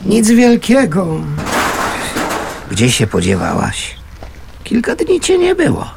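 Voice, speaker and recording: A kindly, scrappy vagrant man in a radio play. He drawls slowly in a gravelly, mid-pitched voice, wry and colloquial. The recording carries a faint noise. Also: wildly swooping pitch, heavily acted. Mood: soothing, stoic. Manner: colloquial, gruff but gentle, wry. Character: kindly, scrappy homeless man who scavenges junk.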